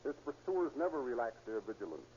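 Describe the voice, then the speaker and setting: A man's voice: mid-range voice, very animated, fairly quick, darkish tone. A man giving a formal, earnest scripted address as a police captain on 1930s radio. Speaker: police captain, guest speaker. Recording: dull, noisy 1930s radio recording.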